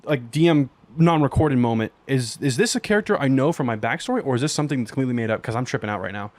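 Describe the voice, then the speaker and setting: A man's voice: mid-range voice, animated, very fast, darkish tone, dry recording. A man playing a tabletop game, chatting and describing his character in informal slang. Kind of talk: casual game chat.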